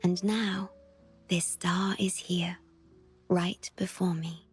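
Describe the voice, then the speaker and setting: Gentle young female game guardian: soft, solemn, unhurried, over music. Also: fairly low pitch, little pitch movement. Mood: tender, wistful. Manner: tender, measured, earnest. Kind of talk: video game voice acting.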